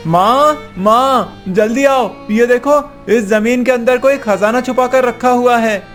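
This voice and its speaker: A small cartoon child from a poor family, put on by an adult. The voice is pushed high and whiny. Faint music plays underneath. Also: takes it slowly, fairly bright tone. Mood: excited. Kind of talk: cartoon moral story acting.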